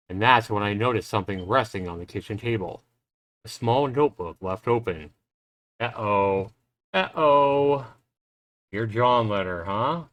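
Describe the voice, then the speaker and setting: A man hosting a livestream, reading a visual novel's first-person story aloud in an expressive, suspenseful way. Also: mid-range voice, no echo. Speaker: livestream host. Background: game music.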